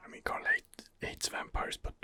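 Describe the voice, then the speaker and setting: A man giving ASMR game commentary in a breathy, husky whisper, hesitant and rambling as he muses his way along. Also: normal speed, pushed and bright. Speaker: video game streamer, channel host.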